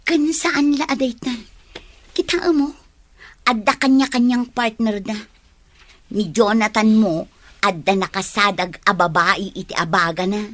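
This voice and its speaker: A woman's voice: mid-range voice, a little slow, pauses often, fairly bright tone, no echo. A woman with an Ilocano Filipino accent acting as an outspoken, teasing young best friend in a radio drama. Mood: concerned, prodding.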